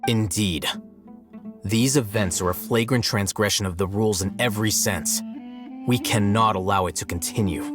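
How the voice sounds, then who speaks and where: An actor voicing a detached adult male scholar in a video game, speaking in a measured, analytical way. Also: fairly low pitch, lightly acted. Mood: cool. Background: soft game music.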